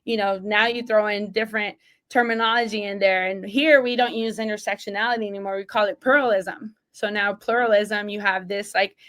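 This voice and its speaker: A woman's voice: medium pitch, steady tone, fairly quick, fairly bright tone. A woman from Mexico, an associate athletic director, talking as a podcast guest in an earnest, emphatic way, often checking in with "right?". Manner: single mom. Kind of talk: casual interview talk.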